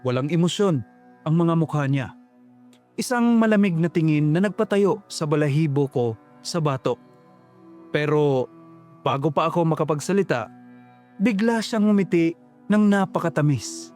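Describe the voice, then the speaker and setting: A man tells a first-person horror story in a slow, ominous, reflective voice, pitched a little high. Faint music runs under his narration. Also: expressive, darkish tone.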